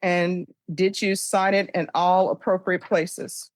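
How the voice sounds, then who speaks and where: An American woman speaking as a judge, putting formal procedural questions in a brisk, authoritative, rote manner. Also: on the low side, little pitch movement, dry recording. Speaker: judge presiding over a plea hearing. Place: courtroom.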